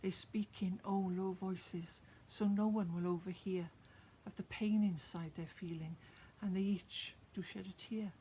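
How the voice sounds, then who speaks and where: A woman's voice: mid-range voice, fairly even intonation, a little slow, few pauses, mellow, a little hiss or hum. A woman with a Welsh accent, chatting to her viewers with many fillers before reciting her own rhymed poem. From Wales. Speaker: poet sharing her own work. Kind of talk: casual chat, then a rhymed poem recitation.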